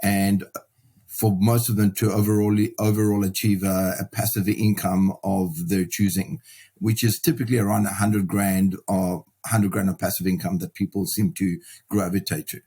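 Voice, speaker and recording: Low, even-toned man in a conversational interview. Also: moderate pace, breathy edge. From Sudan and South Africa. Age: middle-aged. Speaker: podcast guest, property investor and mentor.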